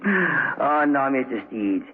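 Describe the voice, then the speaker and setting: Smug husky radio villain. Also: male voice, mid-range voice, exaggerated voice. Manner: mannered, measured, taunting politeness. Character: smug, cultured imprisoned male villain savoring threats. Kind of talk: 1970s radio drama acting. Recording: muffled husky 1970s radio recording.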